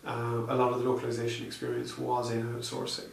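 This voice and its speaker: A man's voice: mid-range voice, completely flat delivery, quick pace, gravelly. A man answering interview questions in a hesitant, conversational way, with many fillers and restarts. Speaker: interviewee, software researcher. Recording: echoey room, distant mic, faint noise.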